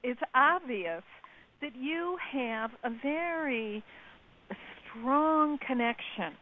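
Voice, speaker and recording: A woman author speaking as a guest on a talk show, measured, drawing out words for emphasis. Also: high voice, animated. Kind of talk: casual radio chat. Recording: tinny phone line with faint hiss.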